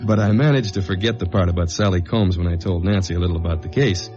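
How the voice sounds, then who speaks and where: A man's voice: deep voice, quick pace, a little mellow, close to an everyday voice. An actor playing a tough, confident, wry male investigator in a 1950s-style radio detective drama, plain-spoken and self-assured. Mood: matter-of-fact narrating. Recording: dull vintage radio recording with music.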